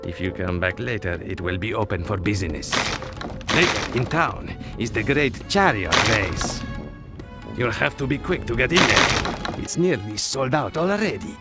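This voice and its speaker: An actor playing a grandiose guide welcoming strangers to an ancient city in a cartoon game. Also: male voice, fairly low pitch, expressive, normal speed, loud music behind the voice.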